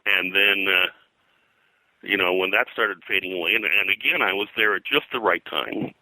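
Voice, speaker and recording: Older american guy telling career stories, really deep but sharp voice, dry close sound, kinda rambly. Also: normal speed, no echo, poor audio. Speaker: guest, comics colorist and publisher. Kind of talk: reminiscing interview talk.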